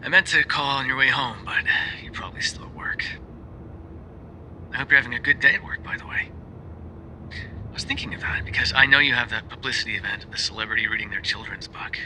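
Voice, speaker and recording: Man acting a bright, breathy lovesick mafia boyfriend. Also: mid-range voice, fast talker, slight background noise, rough recording. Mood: sheepish, longing. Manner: soft-spoken, hesitant, often dropping to a whisper. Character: lovesick, bookish mafia boyfriend, tender and sheepish. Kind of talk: ASMR roleplay voice acting, intimate phone voicemails.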